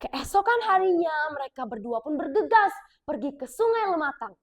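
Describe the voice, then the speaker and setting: A female storyteller from South Sumatra tells a folk tale in a clear, expressive voice. Her voice sits high and her narration is measured. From South Sumatra, Indonesia.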